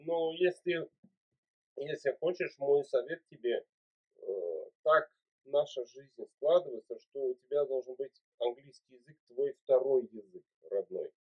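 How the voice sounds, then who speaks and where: A man's voice: mid-range voice, animated, unhurried. A man in his forties chatting over a video call from a small room at home, giving fatherly encouragement. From Uzbekistan. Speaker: video chat host. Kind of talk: casual video chat. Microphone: webcam mic. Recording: tinny phone-like webcam audio.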